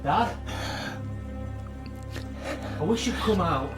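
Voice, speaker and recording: An actor playing an earnest, wounded adult son shaken by family secrets, in naturalistic radio drama. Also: male voice, sits high, animated, drawn-out words, exaggerated voice. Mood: worried, gentle. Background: music.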